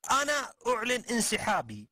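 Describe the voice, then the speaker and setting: A man voicing a flustered young man in a cartoon comedy, exasperated and quick. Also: very high voice, wildly swooping pitch, pushed and bright, no echo, studio quality, full character voice.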